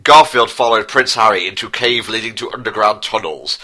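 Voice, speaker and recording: A man's voice: lowish voice, moderate pace, pushed and bright. A man giving a mock-dramatic reading of a story as narrator, with amused asides. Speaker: dramatic reader and commentator.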